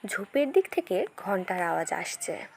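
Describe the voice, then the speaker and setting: A woman's voice: medium pitch, sing-song, normal speed, pitched below the performer's own voice. A woman playing helpful village children in a cartoon story, speaking plainly and reassuringly. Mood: purposeful.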